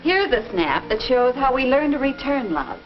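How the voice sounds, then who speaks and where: Gentle 1950s American mother, lilting delivery. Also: high-pitched, big pitch swings, moderate pace. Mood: patient, explanatory. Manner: patient, gently explanatory. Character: gentle, wise middle-aged mother advising her teenage daughter. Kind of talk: 1950s instructional film acting. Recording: dull vintage film soundtrack with music.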